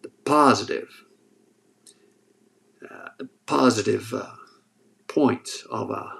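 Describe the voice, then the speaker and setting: Gravelly man chatting on a livestream. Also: lowish voice, slow pace, fairly bright tone. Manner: rambling, joking, restarts often. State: sore throat. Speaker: livestream host reading chat. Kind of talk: casual livestream chat.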